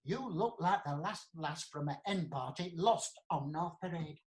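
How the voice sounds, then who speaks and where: A man's voice: fairly high pitch, measured pace, lightly acted. A man voicing a blunt, bossy fairy godmother in a comic pantomime story. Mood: brisk, bossy. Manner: bossy, matter-of-fact.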